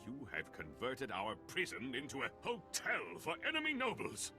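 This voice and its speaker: A man's voice: mid-range voice, wildly swooping pitch, normal speed, over music. An actor playing a pompous, indignant nobleman in a video game, speaking formally. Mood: indignant, sarcastic. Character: haughty, indignant nobleman of a ruling house.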